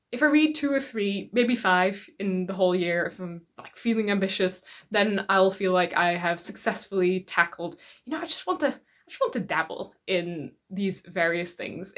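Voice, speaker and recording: A woman's voice: medium pitch, a bit bright. A woman from the Netherlands whose native language is Dutch, chatting casually and reflectively to her viewers as a book YouTuber. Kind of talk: casual talk to camera about reading.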